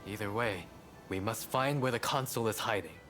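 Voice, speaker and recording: An actor playing an opinionated, cautious samurai scholar in a video game cutscene, chatty and slightly formal. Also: male voice, on the low side, normal speed, music in the background, natural voice. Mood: thoughtful, concerned.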